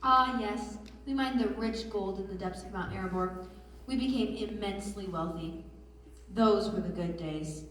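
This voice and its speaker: A woman's voice: medium pitch, normal speed, fairly bright tone, a little hiss or hum, close to an everyday voice. A woman playing a wistful, reminiscing dwarf who remembers lost riches in readers theatre. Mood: nostalgic. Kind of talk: readers theatre stage performance. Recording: distant mic in very echoey hall.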